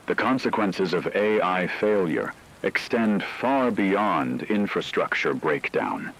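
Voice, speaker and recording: A man acting as a grave newsman in a radio drama, with a low, husky voice. He delivers an emergency bulletin in solemn, measured, formal phrases. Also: fairly bright tone, full character voice, low-quality recording. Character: grave male radio newsman reporting societal collapse. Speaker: radio news broadcaster. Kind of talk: scripted emergency news broadcast.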